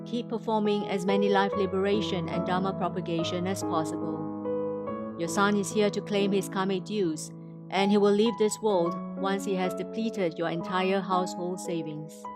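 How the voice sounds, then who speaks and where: A woman from Indonesia reads a scripted inspirational testimony in a formal, measured way. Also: medium pitch, fairly even intonation, over loud music. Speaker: testimony speaker.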